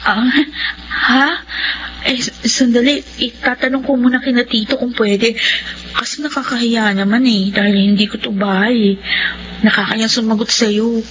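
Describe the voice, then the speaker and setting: A woman plays a polite, hesitant young provincial Filipina in a radio drama. Her voice sits fairly high. The recording is dull with faint noise. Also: fairly even intonation, normal speed, fairly bright tone, lightly acted. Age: young adult.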